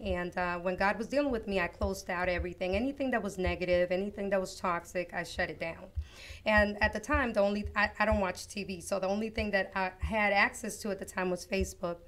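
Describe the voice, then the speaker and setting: A woman from Costa Rica, a guest author on an interview show, telling her life story in an earnest, reflective, unhurried way. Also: mid-range voice, fairly bright tone. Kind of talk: personal testimony in an interview.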